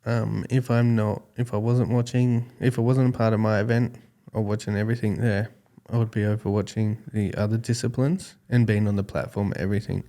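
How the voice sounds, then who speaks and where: Calm, low-voiced Australian man in his forties. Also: fairly quick. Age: 40s. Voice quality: calm, smooth voice. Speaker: podcast guest, skateboarding judge. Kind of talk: casual interview chat.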